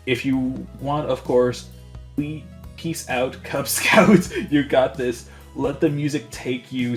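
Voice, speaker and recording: A man playing a tabletop roleplaying game, narrating his character's actions in a casual, improvised way. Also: mid-range voice.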